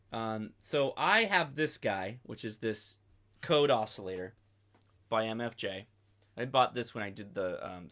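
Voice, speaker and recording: Casual male host, thirties, crisp bright tone, informal off-the-cuff tutorial delivery that rambles. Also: on the low side. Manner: rambling, informal, thinking aloud. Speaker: host of a ham radio channel.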